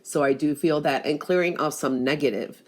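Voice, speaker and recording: Congested low-voiced woman giving a reading. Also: moderate pace. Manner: hesitant, frequent "um" and "I do feel that energy". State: congested from allergies. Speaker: tarot and gemstone reader. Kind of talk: intuitive reading monologue.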